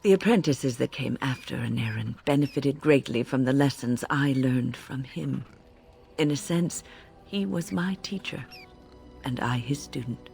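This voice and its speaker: An actress playing an older female mage mentor in a fantasy game, measured and confessional, full of grave regret and compassion. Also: extremely low pitch, expressive, gravelly, darkish tone, faint music behind, exaggerated voice. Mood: resigned. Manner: measured, reflective, confessional, emotive. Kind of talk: dramatic scripted game dialogue, storytelling.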